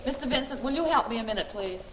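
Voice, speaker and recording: A woman acting a plucky young assistant from a 1940s serial. Her voice sits fairly high, with a wry edge, on a clearly noisy old film soundtrack. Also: expressive, quick pace, lightly acted. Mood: busy.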